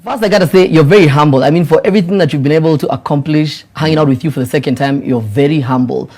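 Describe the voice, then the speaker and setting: A male host with a fairly high voice, talking in an energetic, emphatic way. He builds up excitement as he introduces his guest, then moves into his questions. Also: quick pace. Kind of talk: hype introduction and interview questions.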